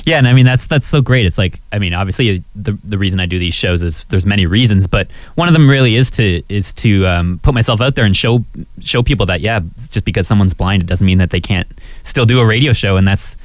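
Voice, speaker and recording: A man's voice: lowish voice, a little fast, dry recording. A man who hosts community radio shows, speaking casually in a studio with brief, easygoing replies. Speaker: blind community radio host.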